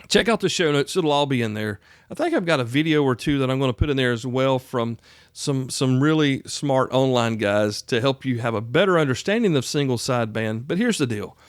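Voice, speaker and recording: A man's voice: mid-range voice, expressive, moderate pace, no echo. Folksy man teaching, very dry close sound. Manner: plain-spoken, folksy, emphatic, checks in with listener. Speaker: podcast host, radio instructor. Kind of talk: conversational instructional podcast.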